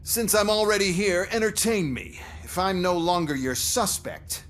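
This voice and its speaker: A fairly high male voice as an arrogant game boss. He sneers and taunts his listeners with smug, foul-mouthed sarcasm. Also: animated, moderate pace, fairly bright tone. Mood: sarcastic, taunting. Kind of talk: video game cutscene dialogue.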